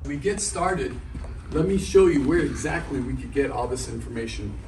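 A man's voice: medium pitch, expressive, moderate pace, darkish tone. A middle-aged man delivering scripted church announcements to camera. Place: large indoor church foyer and halls. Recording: roomy, distant, noisy hall sound.

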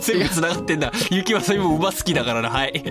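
Male podcast sidekick, around 30, moderately high voice, casual and jokey, quick to react with short interjections. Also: lively intonation. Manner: joking, frequent repeated backchannels. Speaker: podcast co-host. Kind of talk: casual banter, reacting to his partner. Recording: background music under the talk. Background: music.

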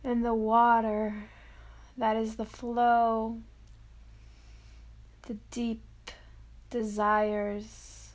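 A woman leading a guided meditation of affirmations, speaking softly and dreamily in drawn-out phrases with long pauses. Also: on the high side, completely flat delivery.

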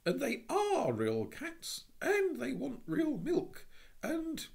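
A man voicing an eager, earnest young girl who pleads in a high, breathless rush. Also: huge pitch swings, slow, vocal fry, heavily acted.

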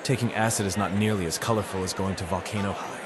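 A man's voice: low voice, steady tone, fast talker, over a noisy crowd, very noisy. A man reading first-person scripted narration in a brooding, sardonic manner. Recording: music under the voice. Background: music.

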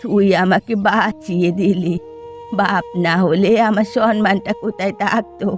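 Cartoon casting: woman to play old village mother, proud, touchy, mid-pitched. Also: steady tone, moderate pace, soft background music, close to an everyday voice. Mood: sad, grateful. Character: elderly village mother, proud and easily wounded, scolding. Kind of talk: Bengali cartoon dialogue.

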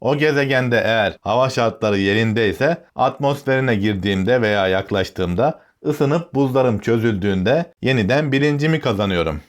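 A naive, curious meteorite from a storybook, voiced by a male narrator. It talks plainly and with self-assurance, in a mid-pitched voice with very lively intonation. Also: moderate pace, hardly any pauses, natural voice. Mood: self-assured.

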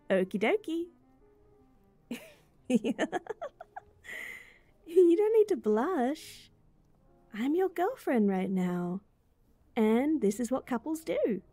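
Woman doing a cute bubbly girlfriend on a date, high soft mellow voice, giggly n chatty, roleplay audio. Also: big pitch swings, drawn-out words, long pauses, dry recording, faint music behind, heavily acted. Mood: playful, excited. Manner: giggly, chatty, gently reassuring. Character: sweet, bubbly young rental girlfriend on a date. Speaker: voice actor. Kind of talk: roleplay girlfriend audio, intimate one-sided dialogue.

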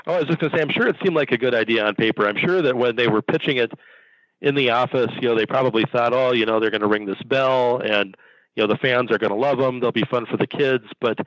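Fast-talking male guest, dull lo-fi sound. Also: mid-range voice, fairly bright tone, no room sound. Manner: knowledgeable, matter-of-fact. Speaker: podcast guest. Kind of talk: casual podcast chat.

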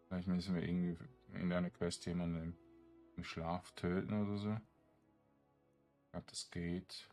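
A man giving casual game commentary, thinking aloud in a halting mutter as he plays. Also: low-pitched, a little mellow. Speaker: gaming streamer.

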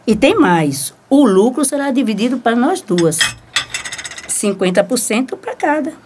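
A woman's voice: a little high, big pitch swings, a little slow, noisy background. A woman playing a confident, persuasive seamstress with a Brazilian accent in a radio soap opera.

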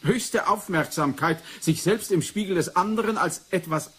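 A man's voice: high voice, moderate pace, fairly bright tone, breathy edge. An actor playing an eager, insistent male investigator who muses and explains in a radio play. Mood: excited, certain. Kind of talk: 1980s German radio play acting.

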